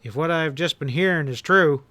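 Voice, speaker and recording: A man's voice: on the high side, normal speed, pushed and bright, no echo. A man voicing a hard, furious outlaw leader who roars and snarls his threats. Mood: ominous. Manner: snarling, roaring, ominous.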